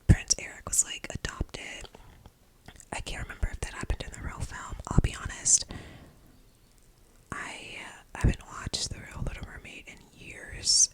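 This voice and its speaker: Young woman in a breathy whisper. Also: rough recording. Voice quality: breathy whisper, almost entirely unvoiced. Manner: informal, slangy, rambling. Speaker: film reviewer. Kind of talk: whispered casual movie review, ASMR-like.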